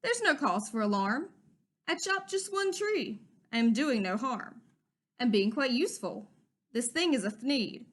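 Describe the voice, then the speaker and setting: A greedy storybook businessman brags and pitches his wares in a high, lively voice, as a woman reading aloud puts him on. He sounds brash and salesy, eager to talk up what he sells. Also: unhurried. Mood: boastful. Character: greedy boastful male businessman.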